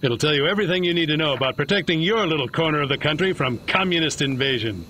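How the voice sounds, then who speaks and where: A man with an American accent playing a slick, zealous 1950s government agent in a video game, delivering a brisk hard sell. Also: fairly high pitch, lively intonation, bright, forceful tone, a little hiss or hum. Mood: persuasive.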